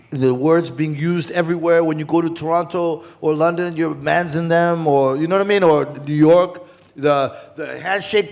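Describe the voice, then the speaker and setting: A male panel host with a slightly raised pitch who grew up in Zimbabwe. He speaks in an animated, talkative way and builds long questions for his guests. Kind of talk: panel moderation.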